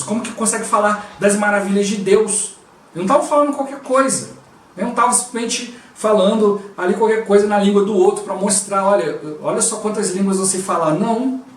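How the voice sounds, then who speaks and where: A man with a Brazilian Portuguese accent giving a devotional sermon, talking conversationally and often breaking in with short invocations of the Lord. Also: high voice. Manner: conversational, constantly interjects "O Lord Jesus" and "né". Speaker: preacher. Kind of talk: devotional preaching. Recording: roomy and distant from the mic.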